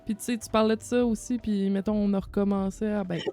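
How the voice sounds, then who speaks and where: Podcast host, flat mellow delivery, faint music behind. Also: medium pitch, fast. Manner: frequent short agreeing interjections. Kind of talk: casual conversational chat.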